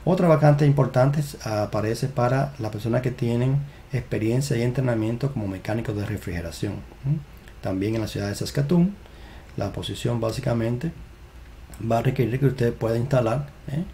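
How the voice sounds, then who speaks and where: A man's voice: medium pitch, warm tone, a little hiss or hum. A man hosting an immigration channel, reading through job listings in a casual screen-share with frequent "eh" fillers and restarts, recorded on a desk webcam mic. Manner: halting, many "eh" fillers and restarts.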